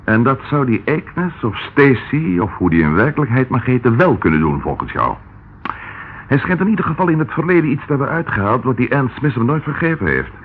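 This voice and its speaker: An actor playing a dry, sceptical Scotland Yard inspector in a Dutch radio detective drama, with a measured way of talking. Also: male voice, medium pitch, big pitch swings, natural voice. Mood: sceptical, musing. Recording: tinny, band-limited, noisy old radio recording.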